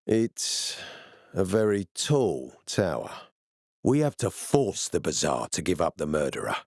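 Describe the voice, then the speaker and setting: Gruff, weary army officer for a video game: low, dry close sound, measured and authoritative. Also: male voice, sing-song, a little mellow, no room sound, exaggerated voice. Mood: commanding, measured. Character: gruff, weary military officer, commanding, later a regretful father. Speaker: game character voice actor.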